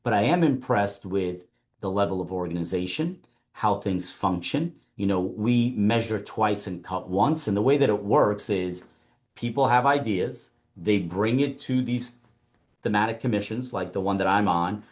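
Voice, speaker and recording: A man speaking as a panelist at a conference, measured and deliberate, often repeating words as he starts a phrase. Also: on the low side. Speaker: panelist and council member.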